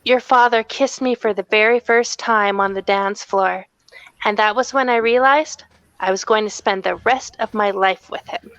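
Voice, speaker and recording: A woman's voice: mid-range voice, moderate pace, fairly bright tone, clean tone, dry recording, natural voice. A woman reading the part of a wistful middle-aged mother reminiscing fondly at an amateur table read, on a lo-fi microphone. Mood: dreamy, nostalgic.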